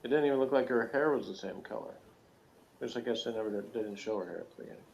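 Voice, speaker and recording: A man with a mid-pitched voice talks casually as a co-reviewer. His manner is self-deprecating and informal. The recording is dull and lo-fi, with faint noise behind it. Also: racing, vocal fry, darkish tone. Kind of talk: casual chat.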